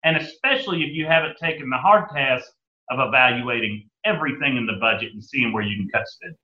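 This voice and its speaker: A man's voice: medium pitch, a little fast. A man who owns a restaurant, talking as a guest in an interview and making his case emphatically, with many uh's and restarts. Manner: emphatic, argumentative, with frequent fillers. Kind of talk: interview discussion. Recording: echoey, muffled.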